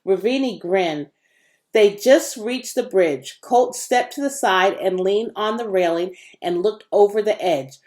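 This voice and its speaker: A woman reading a children's book aloud in an expressive narrating style. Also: mid-range voice, unhurried. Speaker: storybook reader.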